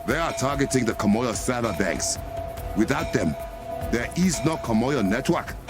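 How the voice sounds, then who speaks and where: A man's voice: mid-range voice, animated, normal speed, loud music behind the voice, lightly acted. An actor playing a proud, stern African-accented warrior king in a video game, formal and commanding. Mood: urgent, commanding. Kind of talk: cinematic video game acting.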